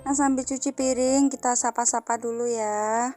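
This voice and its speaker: A housewife vlogger from Central Java talks with a Javanese accent in a fairly high, mellow voice. She chats on with many little fillers, often turning to her viewers as friends. Soft music plays faintly underneath. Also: fairly even intonation, moderate pace. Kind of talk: casual vlog narration while doing chores. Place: wooden house in a village.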